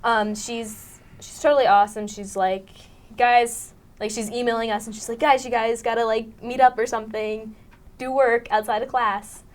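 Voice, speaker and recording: A woman's voice: fairly high pitch, level tone, normal speed. A teenage female student actor chatting casually in an interview, informal and a bit giggly. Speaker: student actress being interviewed. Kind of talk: casual chat.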